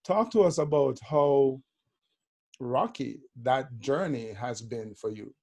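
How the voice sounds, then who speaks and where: A man's voice: mid-range voice, takes it slowly, a little mellow. A middle-aged man with a Jamaican accent hosting a podcast interview in an upbeat, loose, conversational way. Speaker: host.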